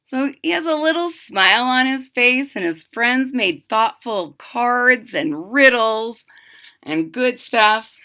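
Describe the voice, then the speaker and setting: High, bright, unhurried woman reading to children. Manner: expressive, simple explaining for young children. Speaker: storybook reader. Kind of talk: read-aloud of a children's picture book with casual asides.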